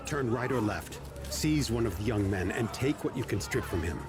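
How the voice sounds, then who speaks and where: An actor playing an embattled army captain in a dramatized scripture audio drama, urgent and emphatic. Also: male voice, lowish voice, lively intonation, normal speed, over music, natural voice. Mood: urging.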